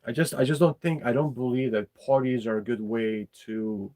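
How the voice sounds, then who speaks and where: A middle-aged man, talking casually and bluntly about his opinions, halting and repeating his words. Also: medium pitch, steady tone, a little mellow. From former Yugoslavia. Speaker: podcast host.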